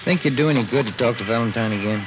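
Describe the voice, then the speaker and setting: An actor playing an easygoing, plain-spoken American detective's partner in a 1950s radio police drama. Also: male voice, mid-range voice, very fast, muffled recording, very noisy, lightly acted. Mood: casual. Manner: plain, conversational. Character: easygoing male police partner, homely and food-minded. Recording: vintage radio drama recording.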